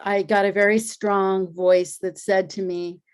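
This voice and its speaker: A middle-aged woman, an energy healer and acupuncturist, telling her story in a relaxed interview and acting out the dialogue she quotes. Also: medium pitch, measured pace, smooth.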